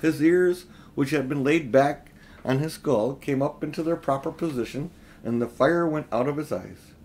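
A middle-aged man reading a children's storybook aloud in expressive narration. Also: on the high side, normal speed, a little hiss or hum. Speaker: audiobook reader. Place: small room at home, indoors.

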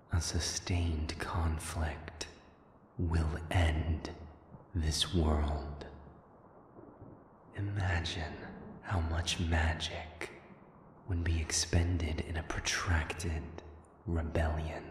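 A man playing a cold, patient otherworldly rebel leader in an audio drama, with a hushed, deliberate, menacing delivery. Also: extremely low pitch, heavily acted. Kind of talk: dramatic audio roleplay acting. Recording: echoey hall reverb with noisy ambience.

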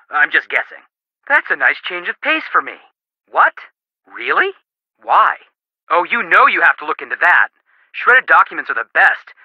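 A man's voice: high voice, dry recording, highs cut off. An actor playing a goofy, eager young male detective in a video game, with playful, wisecracking delivery. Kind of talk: video game character dialogue.

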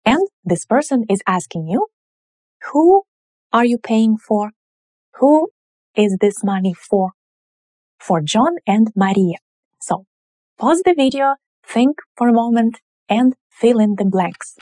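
A woman, teaching grammar at a whiteboard with slow, clear, patient explanations. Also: a little high, lively intonation, warm tone, no room sound. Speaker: language teacher. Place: indoor room in front of a whiteboard.

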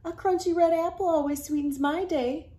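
A woman's voice: very high-pitched, steady tone, moderate pace, dark tone, pitched above the performer's own voice. A woman voicing friendly, helpful country farm folk in a folksy, exaggerated storybook manner.

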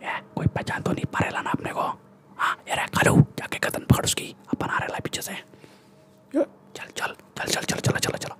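A young man playing a swaggering, bullying Mumbai gangster in a breathy ASMR whisper, with slangy, boastful Mumbai Hindi speech, close to the microphone. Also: moderate pace, lightly acted. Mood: scheming. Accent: Mumbai Hindi street slang. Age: young adult. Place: small room indoors. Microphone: close desktop condenser microphone.

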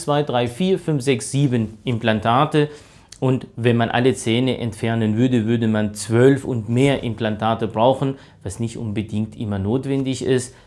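A man around forty speaks as a dentist in a medium-pitched voice. He explains things informally and reassures the listener, speaking into a lapel mic in a small clinic room. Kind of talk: informal explanation and patient consultation.

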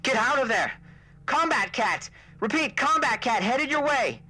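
A man voicing a panicked male soldier shouting urgent warnings over the radio in an audio story. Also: very animated, measured pace, exaggerated voice. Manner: urgent, shouting, high-strained.

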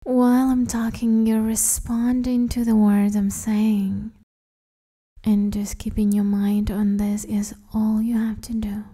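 Slow, breathy, half-whispering woman guiding meditation. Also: mid-range voice, completely flat delivery. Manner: soothing, gently instructing. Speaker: meditation guide, host. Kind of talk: guided meditation, hypnosis-like.

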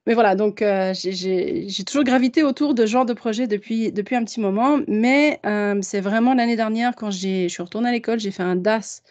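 Woman in her thirties, from Canada, mid-pitch, mellow tone, chatty and full of fillers, over dull call audio. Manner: chatty, many fillers and restarts. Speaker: sustainability consultant. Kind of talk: video call self-introduction.

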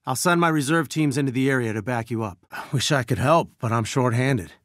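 An actor playing an earnest, wry scientist who leads resistance fighters, briefing in a conversational, clipped way in a video game. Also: male voice, mid-range voice, brisk, a bit bright, dry recording, clean studio sound, natural voice. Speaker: mission dispatcher giving orders and updates.

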